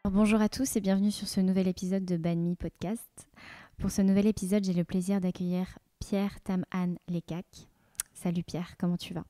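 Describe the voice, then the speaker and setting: Female podcast host, warm mellow tone, dry close sound, friendly measured questions. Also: fairly low pitch, little pitch movement, dry recording. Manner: friendly, measured, with frequent fillers. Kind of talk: podcast interviewing.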